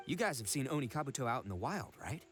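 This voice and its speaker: A man's voice: mid-range voice, very animated, fast talker, over loud music, lightly acted. A man voicing a brash, goofy young self-proclaimed expert in an anime game, bragging theatrically in slangy speech. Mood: boastful, enthusiastic.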